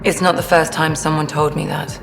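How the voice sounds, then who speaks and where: A woman's voice: low-pitched, level tone, a little fast, vocal fry, reverberant, music in the background. A woman playing a defensive, brooding ship's doctor in video game dialogue, dry and sardonic.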